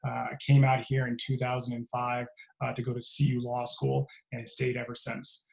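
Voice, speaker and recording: A man panelist introducing himself in a relaxed, conversational way with many fillers over a video call. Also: mid-range voice, moderate pace, vocal fry, rough recording. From California. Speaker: panelist, trial lawyer.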